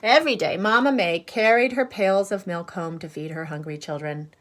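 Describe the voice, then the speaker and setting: A woman reading a picture book aloud to children like a teacher, expressive and deliberate, pausing to explain things with simple asides and questions. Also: lowish voice, a little hiss or hum.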